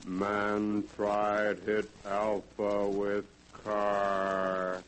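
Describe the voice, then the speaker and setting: An actor playing a slow, blunt machine-man robot that speaks in broken, halting phrases, in 1940s radio-drama style. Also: lowish voice, monotone, a bit bright, a little hiss or hum, rough recording. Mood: mechanical.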